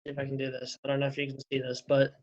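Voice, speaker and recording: A man's voice: mid-range voice, monotone, very fast, muffled recording. A man answering interview questions casually, friendly and rambling, repeating and restarting his words, talking over a video call. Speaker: interviewee, first responder and dog handler.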